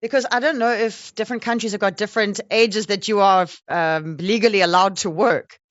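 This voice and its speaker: A woman's voice: medium pitch, brisk, fairly bright tone, no room sound. Dry, slightly dull-sounding woman interviewer, chatty. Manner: informal, playful. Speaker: interviewer, host.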